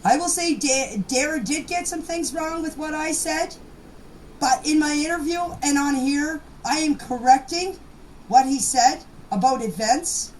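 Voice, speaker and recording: A middle-aged or older woman giving an informal personal account in a halting, plain-spoken, insistent manner. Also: sits high, low-quality recording. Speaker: private person giving her account in voice clips. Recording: amateur voice clips with background noise.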